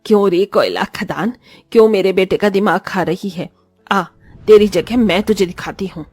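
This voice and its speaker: A woman's voice: medium pitch, moderate pace, a little mellow, slightly rough, dry recording, lightly acted. A woman voicing a greedy, scolding mother-in-law in a cartoon story, with a taunting, melodramatic manner. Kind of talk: Hindi cartoon story voice acting.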